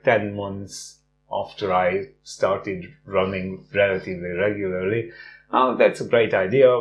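A middle-aged man who grew up in Hungary, speaking in a fairly low voice as a guest on a casual interview. He tells his story warmly and fills the pauses with "uh". A faint hum runs behind him. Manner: warm storytelling with frequent "uh" fillers. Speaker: guest, runner and teacher.

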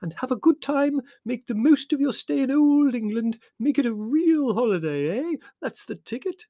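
A man's voice: very high-pitched, animated, moderate pace, warm tone, no echo, clean studio sound, voice pushed up, heavily acted. A man voicing a gushing, old-fashioned older Englishman with a fluting voice. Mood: effusive. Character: pompous, effusive older Englishman.

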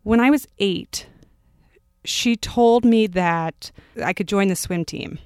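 A woman in her thirties from California, a podcast guest telling stories about her upbringing in a casual, animated way. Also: medium pitch, slow, clean tone, dry recording, studio quality. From Palo Alto, California. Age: 30s. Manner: animated storyteller, acts out quotes. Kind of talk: casual interview storytelling.

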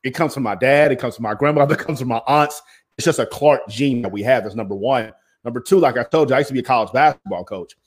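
A man with a deep voice, a former basketball coach, speaking bluntly and emphatically as an interview guest. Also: fast talker. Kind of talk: interview conversation.

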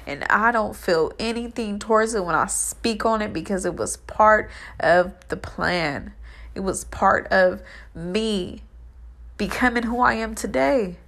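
A woman's voice: mid-range voice, measured pace, gravelly, fairly bright tone. A young woman with a stuffy, allergy-blocked nose, giving an uplifting motivational monologue that repeats its phrases and stretches out words. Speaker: vlogger.

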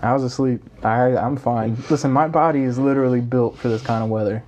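A man's voice: medium pitch, fairly even intonation, a little fast, darkish tone. A young man co-hosting a podcast, chatting casually in a rambling way, with many restarts and slang. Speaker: podcast co-host. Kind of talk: casual chat.

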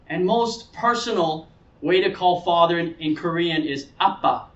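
A high-pitched male pastor delivers an earnest, explanatory sermon in a conversational way. He restates key phrases to drive them home. The sound is slightly dull and reverberant, picked up in a roomy space. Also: a bit bright, far from the mic. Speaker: pastor preaching.

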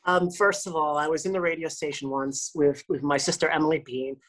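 Woman radio host, low husky voice, chatty, keeps restarting her sentences. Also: fairly even intonation, brisk, low-quality recording. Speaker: radio host, podcast guest. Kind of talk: casual interview talk.

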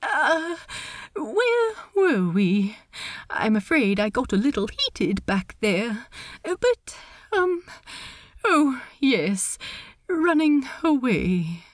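A woman voicing a fussy, polite gentleman pirate captain in an audiobook story, stammering and earnest. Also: sits high, very animated, drawn-out words, dry recording, studio quality, voice pushed up, full character voice. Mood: flustered. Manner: stammering, hesitant, prim.

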